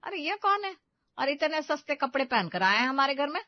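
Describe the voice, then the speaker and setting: A woman's voice: high-pitched, big pitch swings, a little fast, no echo, dull audio. A woman voicing a harsh, nagging older mother-in-law in an audio story, bossy and contemptuous as she scolds. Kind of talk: Hindi audio story character acting.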